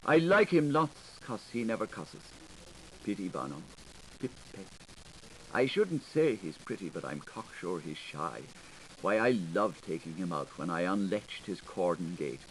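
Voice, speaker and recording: A man's voice: fairly low pitch, animated, a little slow. A man voicing a coquettish young girl, noisy tape. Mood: coy, gushing. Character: coquettish, gushing young Irish girl writing love letters. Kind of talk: audiobook character reading of literary fiction. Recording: hissy 1980s audiobook recording.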